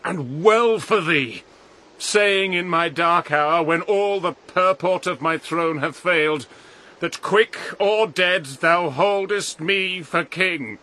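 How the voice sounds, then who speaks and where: A man's voice: high-pitched, slow pace, pushed and bright, exaggerated voice. An actor playing a dying, grief-stricken noble king in a solemn, stately verse radio drama. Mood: proud, impassioned.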